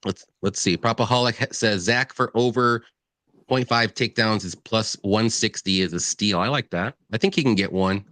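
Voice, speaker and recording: A low-pitched man hosts a relaxed betting stream. He talks in an easygoing way, full of fillers. The sound is dry and close, with no room behind him. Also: normal speed, no room sound. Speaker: host of betting live stream. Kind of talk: casual betting chat, reading comments.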